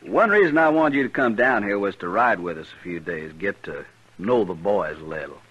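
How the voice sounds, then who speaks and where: An actor playing a proud, embittered Texan cattle boss in a 1950s western radio drama, with a folksy, plain-spoken manner. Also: male voice, lowish voice, animated, normal speed, fairly bright tone, slightly rough. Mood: stubborn, worried. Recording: muffled, noisy vintage radio recording.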